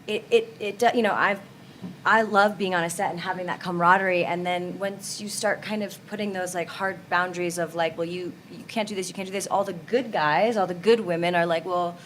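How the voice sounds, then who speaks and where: A woman's voice: on the low side, fairly even intonation, a little fast, fairly bright tone, a little hiss or hum. A woman in a casual roundtable discussion, talking informally and voicing little quotes as she makes her point. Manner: conversational, frequent "like", acts out quotes.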